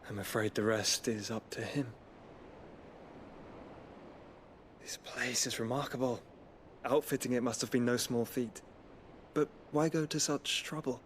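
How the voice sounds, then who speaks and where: An actor playing a grave, gentle young nobleman in a video game, speaking in formal, measured phrases. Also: medium pitch, steady tone, a little hiss or hum, close to an everyday voice. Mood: grave, curious. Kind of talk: video game drama acting.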